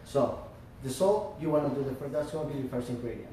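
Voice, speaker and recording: A man's voice: medium pitch, animated, quick pace, mellow, slight background noise. A man working as a chef, walking through a cooking demonstration step by step, with many fillers along the way. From Florida. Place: restaurant. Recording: echoey room, mic far off.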